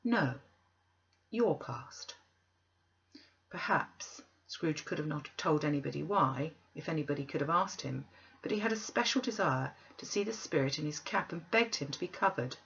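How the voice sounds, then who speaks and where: A woman narrating a classic tale as a storyteller, with expressive, deliberate, dramatic phrasing. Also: low voice, gravelly, husky, dull audio.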